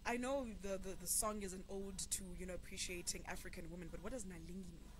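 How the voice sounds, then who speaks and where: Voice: mid-range voice, fairly even intonation, fast. An upbeat, chatty radio breakfast host talks casually in the studio. Noticeable background noise runs under the voice, typical of a live broadcast feed. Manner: upbeat, chatty, reads listener comments aloud.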